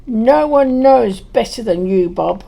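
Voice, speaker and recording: A woman's voice: on the high side, animated, takes it slowly, creaky, dark tone, exaggerated voice. A woman playing a tart but fond wife and mother in an audio play, blunt and motherly. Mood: indignant, grudging.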